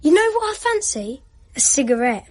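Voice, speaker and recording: A woman's voice: sits high, very animated, normal speed, darkish tone. A female performer playing a bold, mischievous nine-year-old child, cocky and taunting, in a radio drama. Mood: bossy teasing.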